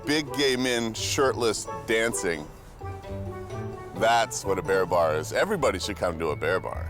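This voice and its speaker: A man's voice: lowish voice, animated, normal speed. A young man from the United States, a reality show contestant, joking playfully and laughing easily. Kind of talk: casual confessional chat. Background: music.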